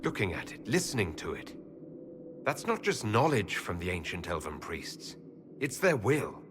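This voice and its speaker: An actor playing a cautious, protective elven leader in a fantasy game, questioning earnestly and firmly. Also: male voice, sits low, big pitch swings, normal speed. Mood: grave, resolute. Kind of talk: dramatic fantasy game dialogue. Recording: clear ambient noise behind voice.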